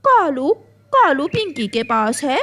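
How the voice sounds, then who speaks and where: A woman puts on a spiteful cartoon crow wife, eaten up with jealousy. Her voice is very high and swoops a lot in melodramatic, indignant speech. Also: sing-song, slow pace, fairly bright tone, heavily acted. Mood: suspicious. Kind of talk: Hindi cartoon story acting.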